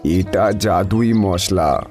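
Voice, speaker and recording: A man voicing a mysterious, kindly old holy man in a cartoon, solemn and deliberate. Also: sits low, darkish tone, faint music behind, pitched below the performer's own voice, heavily acted.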